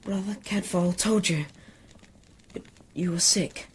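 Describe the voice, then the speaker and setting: Caring young lad, very husky and breathy, unhurried, pleading, period radio play. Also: female voice, lowish voice, heavily acted. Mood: gentle, careful. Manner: gentle, urgent, pleading. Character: earnest, pleading young boy, frightened but caring. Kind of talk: period radio drama acting.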